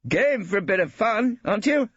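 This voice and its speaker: Old bohemian painter, male, expansive and boastful; raised, husky. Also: expressive, moderate pace, fairly bright tone, no echo, lo-fi sound, heavily acted. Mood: boisterous. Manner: booming, theatrical, expansive. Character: boisterous hearty old male artist, swaggering.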